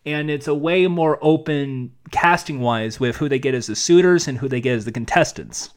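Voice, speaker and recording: A man's voice: mid-range voice, moderate pace, clear, resonant tone. A man co-hosting a podcast, chatting casually and acting out contestants' lines with laughs. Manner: animated, acts out quotes, laughs often. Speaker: podcast co-host.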